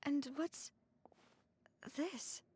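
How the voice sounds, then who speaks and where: An actress playing a spirited young female boss, now drained and speaking softly and earnestly. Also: high voice, drawn-out words, very noisy. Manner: soft, weary and earnest. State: exhausted. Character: spirited young woman, gang boss, tired.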